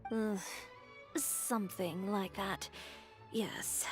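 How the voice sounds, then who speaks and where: A woman's voice: mid-range voice, steady tone, very slow. A woman voicing a confident, businesslike young fashion designer in a video game, composed, blunt and dry in manner. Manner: composed, matter-of-fact, dry. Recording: faint game music behind.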